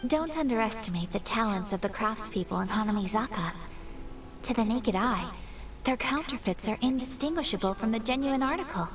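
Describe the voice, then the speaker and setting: Casting: actress for a poised young clan noblewoman in a fantasy game; higher register, precise courteous delivery, a little off-mic. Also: expressive, recorded from a distance, lightly acted. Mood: serious. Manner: formal, measured, precise diction. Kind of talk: scripted fantasy game voice acting.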